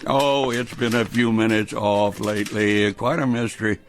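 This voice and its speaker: A man's voice: on the low side, steady tone, moderate pace, soft background music, close to an everyday voice. An actor playing an elderly, absent-minded clockmaker grandfather in a radio drama, fussy and muttering with hesitant starts. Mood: defensive, cheerful.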